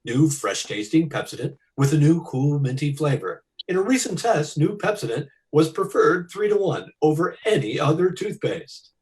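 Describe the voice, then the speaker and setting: A man's voice: fairly high pitch, big pitch swings, normal speed, few pauses, a little mellow, low-quality recording. A man announcing in 1940s radio style, reading sponsor copy with an emphatic sales delivery.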